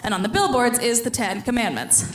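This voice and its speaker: A woman's voice: fairly high pitch, very animated, brisk. A young woman from Ohio doing a stand-up comedy set with dry, self-deprecating delivery, laughing at her own jokes. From Ohio, US Midwest. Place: comedy club stage. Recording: echoey club room, noisy audience. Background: audience laughter.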